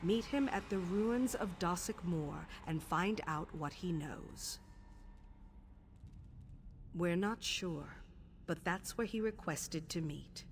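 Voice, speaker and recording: An actress playing a stern, composed female guild leader of assassins in a video game, measured, formal and authoritative. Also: lowish voice, slight background noise. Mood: commanding. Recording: faint background music under the voice.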